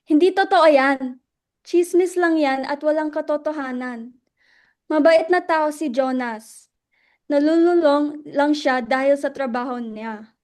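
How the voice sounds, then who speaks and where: A woman acting a guarded, emotional widow in a dramatic reading, halting and defensive as she speaks. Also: sits high, darkish tone. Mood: upset, defensive. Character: Luella, guarded, emotional widow defending her dead husband.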